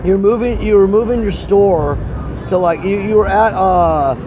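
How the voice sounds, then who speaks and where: High-voiced, excitable man in noisy surroundings. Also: normal speed, highs cut off. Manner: excitable, slangy, rambling. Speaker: interviewer. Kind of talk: casual chat. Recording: clearly noisy, outdoor urban ambience.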